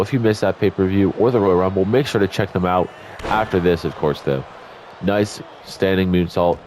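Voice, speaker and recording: A man's voice: deep voice, a little mellow, slight background noise. A man doing casual, rambling play-by-play commentary over a wrestling video game, sounding congested and tired after just waking up. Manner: chatty, rambling asides, self-corrections. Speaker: YouTube gaming commentator. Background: faint game music.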